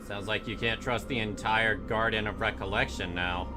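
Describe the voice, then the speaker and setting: A man's voice: lowish voice, fairly even intonation, moderate pace, music in the background. A man livestreaming casual reaction commentary on a game.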